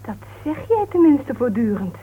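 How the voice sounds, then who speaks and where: A woman playing a sharp-tongued, argumentative crime writer in a Dutch radio play, speaking in a pointed, goading way. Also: high voice, sing-song, moderate pace, husky, heavily acted. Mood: accusing, goading. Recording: old radio recording, narrow tinny band, hiss.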